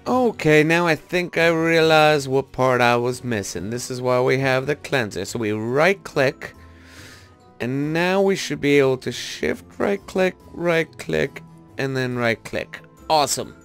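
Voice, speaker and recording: A man's voice: medium pitch, unhurried, a bit bright, smooth, soft background music. A man doing casual gaming commentary, thinking aloud in a rambling way as he talks to his viewers. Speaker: gaming video host. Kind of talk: casual Minecraft let's-play commentary.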